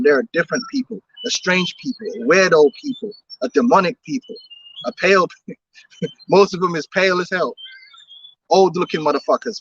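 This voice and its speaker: Man, street preacher, outdoors, emphatic and repetitive, forceful sharp tone. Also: on the high side, breathy edge, no room sound, dull audio. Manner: emphatic, repetitive, laughs between points.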